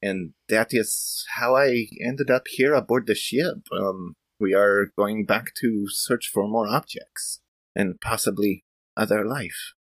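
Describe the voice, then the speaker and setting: A man's voice: on the low side, slow, fairly bright tone, no echo. A man playing an earnest, reserved female scientist in a tabletop game, speaking formally with polite, hesitant precision. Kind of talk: improvised tabletop roleplay.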